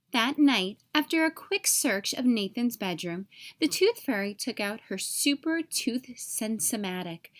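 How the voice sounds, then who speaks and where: Female storyteller, high voice, expressive picture-book read-aloud for young children.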